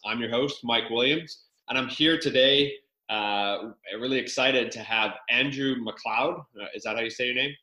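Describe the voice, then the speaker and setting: A man's voice: medium pitch, moderate pace, few pauses, bright, forceful tone. A man hosting a podcast interview, upbeat and enthusiastic, with loose filler-filled questions. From Canada. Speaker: podcast host. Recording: echoey room.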